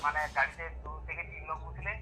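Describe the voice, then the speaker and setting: Over a phone call with clear background noise, a man talks very quickly in a slightly high voice. He has a rural Medinipur Bengali accent. His speech is casual and halting, often circling back over the same words. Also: fairly even intonation, sharp tone. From West Bengal, India. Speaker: prank call recipient.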